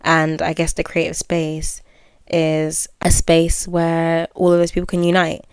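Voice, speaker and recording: A woman's voice: low-pitched, completely flat delivery, a little slow, smooth, no room sound. A woman aged twenty, well-spoken, hosting her own podcast and musing candidly, with fillers and self-corrections. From United Kingdom. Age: 20s. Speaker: podcast host. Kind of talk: reflective solo podcast monologue.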